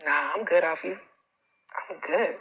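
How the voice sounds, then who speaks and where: An American woman giving casual livestream coaching in a blunt, animated, colloquial way. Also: on the low side, measured pace, breathy edge, highs cut off. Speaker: self-confidence coach. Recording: reverberant, echoing room sound.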